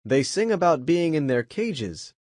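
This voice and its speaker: A man's voice: on the high side, big pitch swings, a little slow, a little mellow, dry recording, studio quality, voice pushed up. A man voicing a thoughtful old friend in a storybook reading. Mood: musing.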